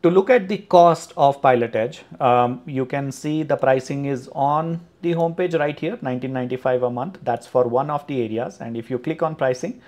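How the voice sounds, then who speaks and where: A man's voice: medium pitch, normal speed, few pauses, a little mellow. A man presenting a screen-recorded walkthrough of a flight simulator service, explaining steadily with occasional fillers. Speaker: flight sim tutorial presenter.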